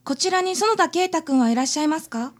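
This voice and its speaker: A woman's voice: very high-pitched, expressive, quick pace, heavy background noise. A woman playing a shy, polite schoolgirl in a radio drama. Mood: shy, apologetic.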